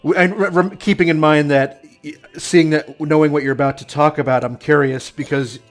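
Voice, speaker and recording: A man hosting a casual gaming podcast panel who laughs often and speaks haltingly as he hands turns to others. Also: fairly high pitch, fairly even intonation, faint music behind.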